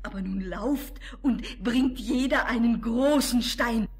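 A mother goat from an old fairy-tale radio play. Her high voice is unhurried and full of motherly feeling, anxious and tender, with dramatic delivery. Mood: relieved, joyful. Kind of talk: 1960s German children's radio play acting.

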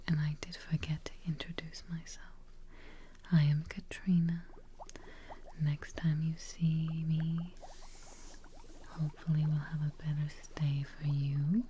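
A young woman playing a kindly witch receptionist in an ASMR role play, speaking very softly in a breathy, whispery, reassuring way, close to the microphone. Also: low voice, slight background noise. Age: young adult. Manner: slow, gentle, reassuring, caring. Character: kindly, soothing witch receptionist tending a guest.

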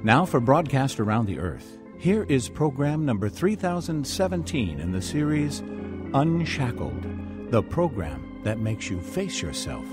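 Animated male radio announcer. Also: medium pitch, over music. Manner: measured, dramatic. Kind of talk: scripted radio narration.

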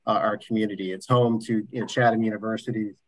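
A man presenting as a development officer at a board meeting over a video call, halting, with many fillers and restarts. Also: fairly low pitch, fairly even intonation.

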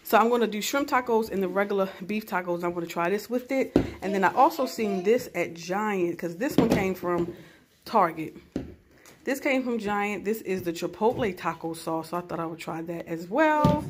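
A woman's voice: medium pitch, expressive, normal speed, background noise. A woman in her thirties filming a casual grocery haul on her phone, chatting as she lists each item. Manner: chatty, lists items as she shows them. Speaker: vlogger mom. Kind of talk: casual shopping vlog and haul. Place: in a store, then at home. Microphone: handheld phone camera. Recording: loud crinkling of bags. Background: crinkling packaging.

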